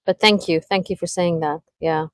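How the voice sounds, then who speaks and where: A woman from Israel with an Israeli accent, speaking English as a second language in a casual group call, pausing and searching for words as she talks. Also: on the low side, steady tone, no room sound. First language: Hebrew. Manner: halting, searches for words with many fillers. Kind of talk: casual video call chat.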